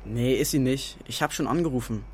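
A man's voice: mid-range voice, a little fast, darkish tone, slight background noise, close to an everyday voice. An actor playing a cheeky, streetwise teenage fairground boy in a children's radio drama, slangy and direct. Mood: dismissive. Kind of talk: naturalistic German children's radio drama acting.

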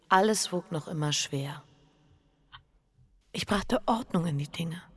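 An actress playing an anguished, guilt-ridden bourgeois wife in a radio drama, speaking with breathy, introspective intensity. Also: female voice, fairly low pitch, a little mellow, no echo, studio quality. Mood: brooding, uneasy. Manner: intense, introspective, pleading, halting repetitions. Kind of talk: German radio drama, intimate monologue and confession.

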